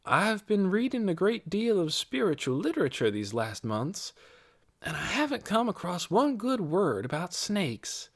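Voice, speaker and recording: A man voicing a pious, intense older woman in an audiobook, deliberate and honeyed, sweetly controlling. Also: high voice, big pitch swings, voice pushed up, exaggerated voice. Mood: intrigued. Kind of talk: audiobook character voice.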